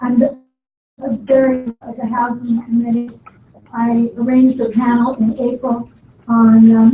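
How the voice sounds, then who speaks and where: Female candidate, halting flat delivery with long pauses, muffled room recording with slight noise. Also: on the high side. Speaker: board officer candidate giving a speech. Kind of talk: halting public campaign speech. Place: indoor public meeting room. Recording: echoey meeting room, dull and faintly noisy.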